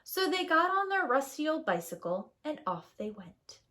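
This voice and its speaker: Lady reading a picture book to little kids, super expressive, pitch goes up and down a lot. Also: moderate pace. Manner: emphatic, expressive storytelling for young children. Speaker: preschool teacher storyteller. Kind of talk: animated children's storybook read-aloud.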